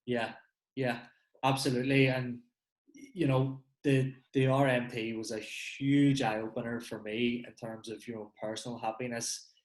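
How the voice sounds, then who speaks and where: A Northern Irish man hosts a casual podcast interview, talking in an easy conversational way that often trails off. His voice has a middle pitch, and the room echoes around him. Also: little pitch movement, unhurried. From Northern Ireland. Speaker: podcast host.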